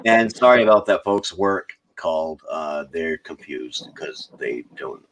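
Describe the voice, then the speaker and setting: A man's voice: very deep voice, lively intonation, measured pace, fairly bright tone. A man casually hosting a livestream chat. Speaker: livestream host. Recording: noisy livestream audio with clicks.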